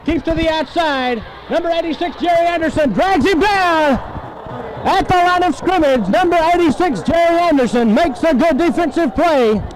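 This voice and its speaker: High-pitched radio football announcer, a man. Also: moderate pace, low-quality recording. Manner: excited, emphatic calling in short phrases. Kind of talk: live football play-by-play commentary. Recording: 1970s AM radio sports broadcast.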